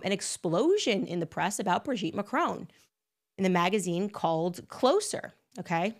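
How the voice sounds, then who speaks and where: A woman host giving a casual, emphatic monologue, full of asides and fillers. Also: mid-range voice. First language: English.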